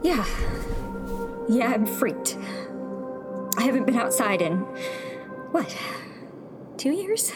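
An anxious female rebel tech in a sci-fi radio drama. Her voice sits fairly high and moves slowly, halting and breathy with a sardonic edge, over music. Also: very animated. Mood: panicked, defensive. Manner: halting, breathy, nervously sarcastic.

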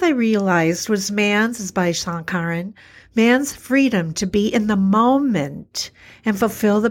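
A middle-aged woman hosting a podcast, talking alone in an earnest, reflective way; her voice is a little hoarse today. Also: medium pitch. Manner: earnest, repetitive, slows for emphasis. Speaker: podcast host, alternative health practitioner. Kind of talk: reflective solo talk.

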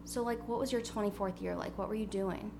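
A woman's voice: mid-range voice, fairly even intonation, fairly quick, vocal fry. Woman, 20s, podcast host, bubbly, giggly, rambling, casual chat, noisy recording with hum. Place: at home before a party.

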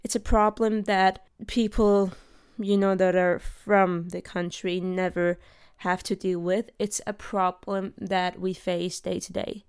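Earnest young woman, smooth dry voice. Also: medium pitch, little pitch movement, slow pace, a little mellow, no room sound. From Denmark. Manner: earnest, heartfelt, searching for words. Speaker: podcast host. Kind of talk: personal monologue.

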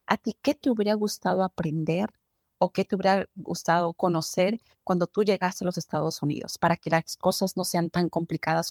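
A woman's voice: lowish voice, a little mellow, no echo, clean studio sound. A woman hosting an interview podcast, asking long, winding questions with emphasis. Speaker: podcast host.

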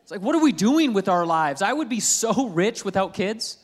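Man, 30s, pastor, sermon, lively pitch, joking and conversational, loud emphatic peaks. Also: normal speed. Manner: conversational with humor and restarts.

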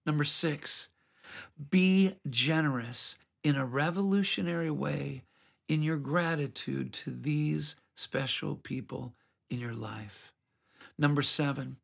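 A man hosting a devotional program, reading a scripted message in an earnest, reflective, unhurried way. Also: a little high, vocal fry, a bit bright.

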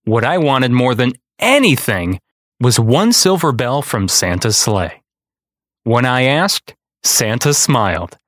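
A man narrates a children's picture book with deliberate, theatrical care. His pitch moves around in a lively way, and he takes his time with each phrase. The sound is close and dry. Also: dry recording. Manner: deliberate, dramatic storytelling delivery. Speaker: storyteller and podcast host.

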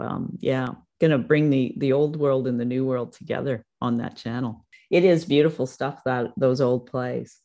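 A woman's voice: a bass voice, darkish tone. Woman podcast host, chatty, laughs often, casual interview.

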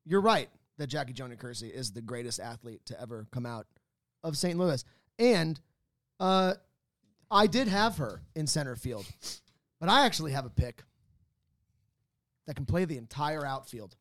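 A man's voice: medium pitch, animated, normal speed, pauses often, a bit bright, no echo. A man co-hosting a podcast in casual, joking banter with a cocky, sweary manner. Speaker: podcast co-host.

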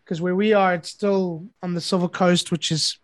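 A man's voice: high voice, fairly even intonation, normal speed, dry recording. A man from South Africa hosting an interview, chatting casually with frequent stammered restarts. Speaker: podcast host.

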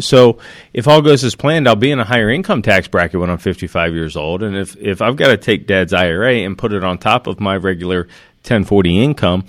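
A man with a fairly low voice talks through money matters earnestly and with emphasis. He builds his points on worked examples and often restarts mid-sentence. The sound is dry and close, with almost no room echo. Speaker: financial commentator. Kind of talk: explanatory talk to camera.

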